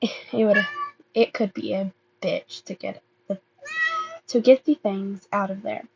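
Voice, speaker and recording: A woman reading fan fiction aloud as an amateur narrator, halting and often stumbling over and repeating words. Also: mid-range voice, fairly even intonation, gravelly, lo-fi sound, a little hiss or hum. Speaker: amateur podfic reader.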